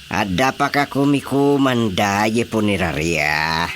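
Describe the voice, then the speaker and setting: A man's voice: lowish voice, measured pace, background noise, natural voice. An actor playing a blustery, scolding village chairman in a comedy radio drama, emphatic, with an Ilocano Filipino accent. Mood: reproachful.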